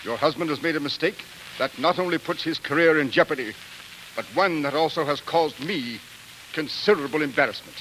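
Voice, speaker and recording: Actor as a stiff angry colonel, sharp highish voice, formal, old radio play with slight hiss. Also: male voice, fairly quick, lightly acted, low-quality recording. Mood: indignant. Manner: clipped, formal, forceful. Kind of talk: 1940s radio drama acting. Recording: faintly hissy 1940s radio recording.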